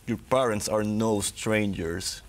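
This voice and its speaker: Low-voiced man asking interview questions. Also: slow pace. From Puerto Rico. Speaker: interviewer, doctoral student.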